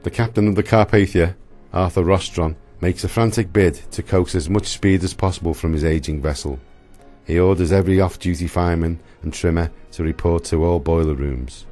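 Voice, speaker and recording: A man's voice: low voice, steady tone, brisk, dark tone, faint music behind. A man giving dramatic scripted narration for a historical radio play.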